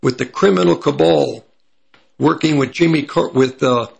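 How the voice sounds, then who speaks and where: A man with a slightly raspy voice from hay fever, giving prophecy commentary as he reads from an article and stops to correct himself. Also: a little high. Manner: pauses to correct himself mid-sentence.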